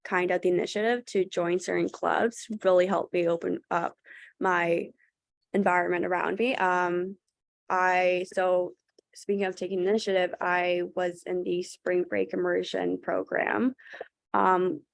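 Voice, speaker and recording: A woman's voice: lowish voice, little pitch movement, measured pace, gravelly, dry recording. A young woman from Thailand, a student panelist on a webinar, talking earnestly with many fillers and restarts. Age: young adult. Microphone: video call.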